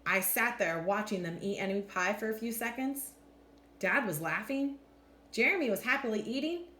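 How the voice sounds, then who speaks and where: Animated woman reading in an echoey room. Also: mid-range voice, normal speed. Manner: animated, clearly acts out lines. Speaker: storytime reader, teacher. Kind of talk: expressive storybook read-aloud. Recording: echoey room with faint background noise.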